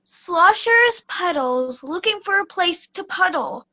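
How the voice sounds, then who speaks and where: A woman's voice: very high-pitched, expressive, takes it slowly, bright, forceful tone, dull audio, exaggerated voice. A woman playing an odd, matter-of-fact storybook boy who happily eats dirt, in a children's radio play. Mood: unbothered. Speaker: student radio play actor.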